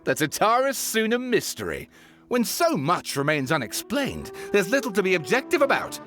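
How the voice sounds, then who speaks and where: A man's voice: high-pitched, huge pitch swings, moderate pace, fairly bright tone, faint music behind, heavily acted. An actor playing a wry, bookish young male writer with an articulate, theatrical manner in a video game. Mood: wry, argumentative. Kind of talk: animated video game voice acting.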